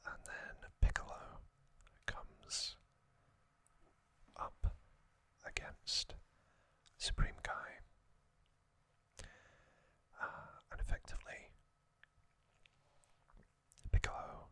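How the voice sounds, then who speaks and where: Man, whispered ASMR, crisp sharp hiss, unhurried, hesitant. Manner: soft, hesitant, corrects himself. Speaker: ASMR host. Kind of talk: whispered ASMR trivia recap.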